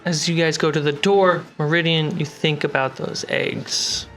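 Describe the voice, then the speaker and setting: A man acting as game master, narrating a tabletop adventure in a measured way with dramatic pauses. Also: on the high side, steady tone, a bit bright, soft background music.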